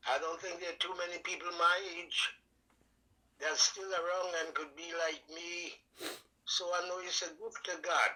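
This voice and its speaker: An elderly man with a Trinidad and Tobago accent and a strikingly bright, high voice. He tells stories in a rambling, folksy way full of dialect grammar and blessings, sharp and ringing in tone. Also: fairly even intonation, normal speed, low-quality recording. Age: older. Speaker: guest, veteran and calypso singer. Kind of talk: casual interview storytelling.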